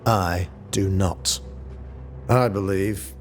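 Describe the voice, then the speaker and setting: An actor playing a solemn, self-regarding older town governor in a video game, speaking in formal, measured, archaic phrasing. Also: male voice, sits low, steady tone. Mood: earnest, grave. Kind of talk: dramatic video game acting. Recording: music under the voice.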